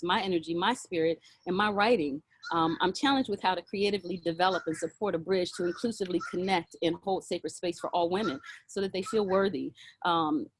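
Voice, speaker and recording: A woman sharing a personal reflection with a group on a video call, earnest and halting, with many fillers and restarts. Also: on the low side, a little hiss or hum. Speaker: circle participant.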